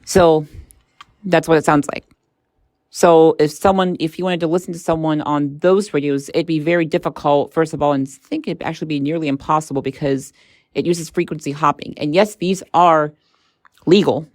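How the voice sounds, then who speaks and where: A woman's voice: deep voice, fairly even intonation, moderate pace, a little mellow, no room sound, clean studio sound. A woman giving a casual tech review as a vlog host, talking in a hesitant, rambling way. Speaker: product reviewer and channel host.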